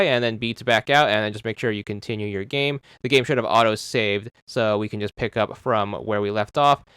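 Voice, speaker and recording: Smooth, dry-voiced man guiding a game. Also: mid-range voice, no echo. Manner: steady, instructive, repeats "just make sure". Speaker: game walkthrough guide. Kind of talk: instructional game commentary.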